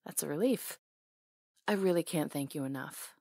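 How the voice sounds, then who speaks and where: A woman's voice: low-pitched, unhurried, creaky, dark tone, slightly rough, dry recording, clean studio sound. A woman acting in an intimate ASMR roleplay as a hair stylist, talking casually in a caring, teasing way.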